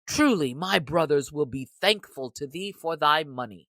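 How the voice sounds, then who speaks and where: A woman's voice: sits low, expressive, normal speed, a bit bright, no echo, studio quality, heavily acted. A woman voicing a bold, merry young male outlaw in an audiobook, with cheerful, teasing, old-fashioned speech. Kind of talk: audiobook character reading.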